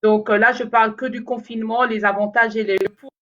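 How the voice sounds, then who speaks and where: A woman's voice: fairly high pitch, steady tone, brisk, fairly bright tone, highs cut off. A woman whose first language is Lingala, chatting casually and rambling to her viewers in a live video, with many fillers and restarts. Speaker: vlogger talking to viewers. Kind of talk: casual live chat to camera.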